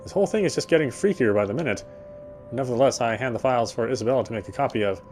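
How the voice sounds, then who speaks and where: A man's voice: medium pitch, animated, racing, a little mellow, faint music behind, lightly acted. An actor playing a weary male city detective, narrating in the first person with a wry inner voice.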